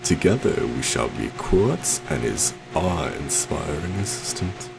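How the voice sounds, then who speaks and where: A man's voice: extremely low pitch, very animated, a little slow, gravelly, music in the background, heavily acted. A man playing a suave, possessive stage magician in an ASMR roleplay, theatrical and courtly, coaxing sweetly with a menacing undertone. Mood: smug, coaxing. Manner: theatrical, courtly, soft coaxing with terms of endearment.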